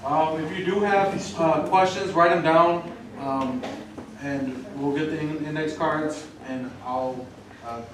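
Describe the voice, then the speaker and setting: A man's voice: a little high, fairly even intonation, slow pace, recorded from a distance. A man organizing a meeting, giving informal instructions to an audience. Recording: echoey room from afar, crowd noise.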